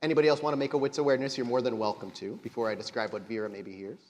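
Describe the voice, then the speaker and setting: A man's voice: medium pitch, level tone, rapid-fire, a little mellow. A man working as game master, narrating a tabletop horror game live with dramatic, descriptive storytelling. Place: live stage at a gaming convention. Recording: echoey hall, live convention show.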